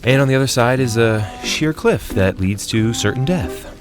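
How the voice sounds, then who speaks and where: A man's voice: medium pitch, animated, moderate pace, music in the background, lightly acted. A man playing a sarcastic, skeptical hiker in a fiction podcast, with a dry, mocking manner. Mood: narrating. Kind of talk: audio fiction podcast acting.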